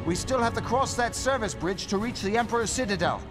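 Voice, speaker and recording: A heroic rebel commander in a space opera radio play. He barks orders urgently in a very high-pitched voice for a man, over a loud orchestral score. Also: animated, fairly quick, music in the background. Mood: commanding. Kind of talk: space opera audio drama acting. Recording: loud film score behind.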